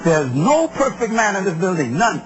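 A male preacher with a high-pitched voice delivers an impassioned sermon in a declamatory style. He drives points home in short, repeated phrases and puts questions to his congregation. The live recording is heavily covered in noise. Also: big pitch swings, normal speed. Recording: very noisy live speech recording.

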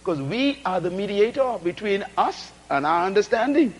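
A man with a very high, lively voice preaches a sermon, rising and falling in pitch as he hammers his points. He repeats key phrases, throws out rhetorical questions and calls for responses from the congregation. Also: moderate pace. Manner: call-and-response, rhetorical questions, emphatic repetition; swings between shouted emphasis and low asides.

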